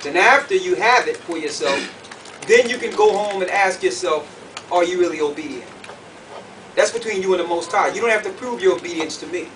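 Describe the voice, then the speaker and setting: High-voiced male preacher, distant noisy recording. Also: animated, a little fast, a bit bright. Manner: emphatic, repeats phrases, puts questions to listeners. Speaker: preacher and Bible teacher. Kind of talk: sermon, conference teaching to a group. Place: conference room with an audience. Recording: distant mic, clearly noisy room recording.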